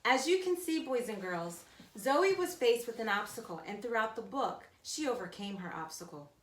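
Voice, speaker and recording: Animated woman reading aloud, echoey room. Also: on the high side, normal speed. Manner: emphatic, animated storytelling, addressing young listeners. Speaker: teacher reading aloud to children. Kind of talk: expressive children's read-aloud narration. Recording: echoey, roomy sound.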